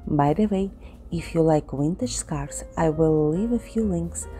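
Low, mellow-voiced woman tutorial host. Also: a little slow. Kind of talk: tutorial voice-over with history. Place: indoor studio with painted backdrop. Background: soft background music.

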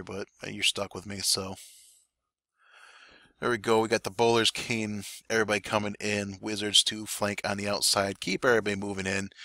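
A man commentating a strategy game war replay in a relaxed play-by-play, his voice hoarse and raspy today, with fillers and occasional coughs. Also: lowish voice, dry recording, clean studio sound. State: voice getting raspy. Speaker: clan war recap commentator. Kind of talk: gameplay commentary.

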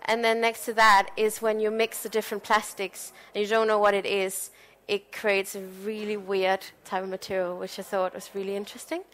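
A woman's voice: mid-range voice, flat delivery, fairly quick, a bit bright. A young woman from Denmark giving a conference talk on her design work, speaking informally with frequent "um"s. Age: young adult. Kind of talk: conference presentation.

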